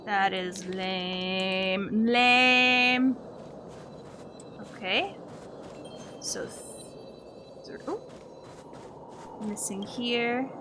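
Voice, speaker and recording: A woman's voice: medium pitch, steady tone, extremely slow, pauses often, noisy background. A woman doing casual, playful live game commentary as a streamer, given to exclaimed reactions.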